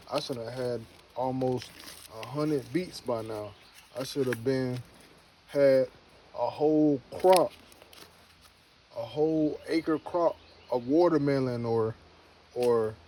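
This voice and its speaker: A man's voice: mid-range voice, warm tone, slight background noise, rough recording. A man in his early thirties talking casually to camera, rambling and repeating himself, then giving an earnest motivational pep talk. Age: 30s. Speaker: homestead vlogger.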